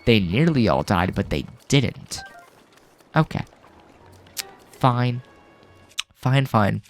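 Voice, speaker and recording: A man's voice: medium pitch, very animated, takes it slowly, soft background music. A man hosting a let's-play, getting over a cold with a lingering cough, rambling casually with lots of fillers. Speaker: gaming video host.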